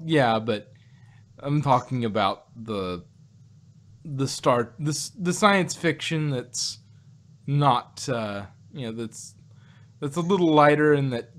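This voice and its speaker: A man in a casual podcast discussion, hosting and rambling as he repeats and rephrases his points. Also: mid-range voice. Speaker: podcast host. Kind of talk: casual review chat about sci-fi shows.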